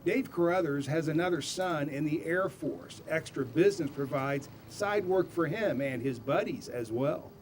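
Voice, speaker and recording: Male reporter doing a news voiceover, higher voice, noisy feed. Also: moderate pace, few pauses. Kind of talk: scripted news package narration.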